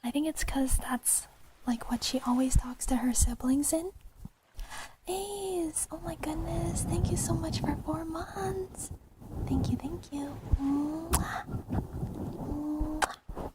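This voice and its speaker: A young woman whispering softly as an ASMR streamer, chatting with her viewers and giggling now and then. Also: high-pitched, takes it slowly, long pauses, very noisy. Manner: soft whisper, reads and answers chat, giggly. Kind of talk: whispered livestream chat with viewers.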